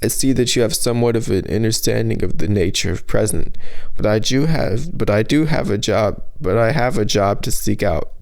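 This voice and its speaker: A wary male prophet on a quest in a fantasy audiobook, voiced by a man. His speech is formal, guarded and halting, with a smooth, clean tone and some creak. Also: lowish voice, darkish tone, rough recording.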